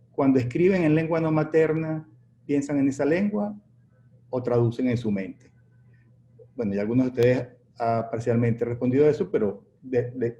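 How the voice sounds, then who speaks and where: Guy moderating an online panel, mellow voice, stumbles and restarts a lot, dull echoey call audio. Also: medium pitch, a little hiss or hum. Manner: hesitant, many restarts. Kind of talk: moderating an online panel, reading audience questions. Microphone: video call.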